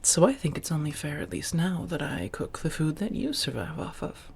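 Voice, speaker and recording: A soft-spoken woman acting an affectionate, refined female vampire roommate in an ASMR roleplay, often near a whisper, with formal phrasing and coy pet names. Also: deep voice, sing-song, moderate pace, gravelly, a little hiss or hum, full character voice. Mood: affectionate, teasing.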